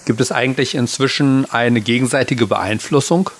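A man interviewing a guest, calmly setting out background before he asks his question. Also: mid-range voice, normal speed. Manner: calm, framing context before asking.

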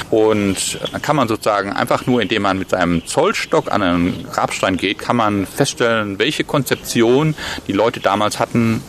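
A man's voice: on the low side, a bit bright, a little hiss or hum. A man, a professor, explaining his research in an interview, lecturing steadily and thinking aloud with fillers and restarts, recorded outdoors.

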